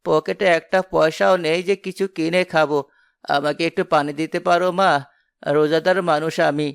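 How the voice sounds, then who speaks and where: Man as weary old cartoon beggar, creaky, slightly high voice, humble, pleading, soft music. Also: fairly even intonation, normal speed, no echo, clean studio sound. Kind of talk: cartoon dialogue.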